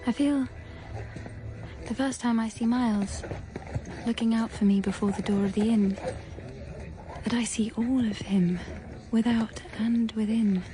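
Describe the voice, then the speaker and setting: A woman plays an earnest young governess in a gothic radio play. Her voice sits fairly high and has a breathy, confiding, dreamy manner. She sounds anxious and easily enraptured. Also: steady tone, a little slow, pauses often, over music, natural voice. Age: young adult. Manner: breathy confiding narration that often drops to whispers. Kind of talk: period gothic audio drama acting.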